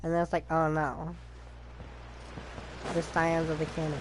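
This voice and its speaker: A woman's voice: sits low, completely flat delivery, normal speed, background noise. A woman streamer giving casual, chatty gameplay commentary, acting out her own thoughts as she goes. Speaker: gaming streamer. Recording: game music behind the voice.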